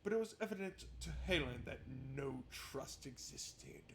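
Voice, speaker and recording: A man's voice: mid-range voice, animated, normal speed, slight background noise. A man hosting a visual novel playthrough, reading narration aloud and adding casual, wry asides. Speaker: gaming video host.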